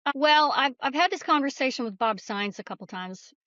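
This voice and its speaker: A middle-aged woman with a fairly high voice talks as an interview guest. She is earnest and candid as she reflects and tells stories. The sound is close and dry but a little muffled. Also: animated, a little fast, pushed and bright, no room sound. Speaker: guest author and screenwriter.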